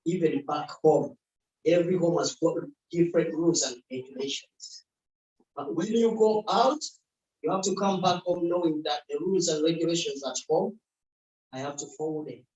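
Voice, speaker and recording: A man's voice: on the high side, normal speed, darkish tone, lo-fi sound. A middle-aged Ugandan man, speaking earnestly in short phrases as a community speaker at a public event. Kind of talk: unscripted public talk. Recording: roomy sound picked up from far off.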